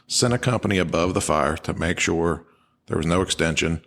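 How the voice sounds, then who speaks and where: A man's voice: low voice, normal speed, fairly bright tone, a little hiss or hum. A man co-hosting a podcast, explaining and analyzing things in an informal, conversational way. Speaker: podcast co-host. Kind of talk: conversational analysis of firefighter radio traffic.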